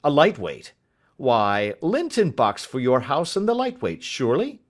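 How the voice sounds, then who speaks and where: A man reading aloud, voicing an excitable adult schoolmaster who asks question after question. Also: mid-range voice, a little slow, voice pushed up. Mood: eager. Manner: fussy, exclaiming, questioning.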